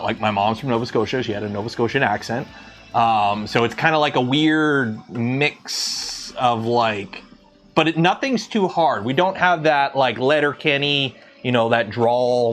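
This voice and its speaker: A man's voice: mid-range voice, animated, normal speed, fairly bright tone, soft background music. Chatty Southern Ontario Canadian man. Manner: rambling, chatty, many fillers and restarts. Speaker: 3D printing livestreamer. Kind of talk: casual livestream chat.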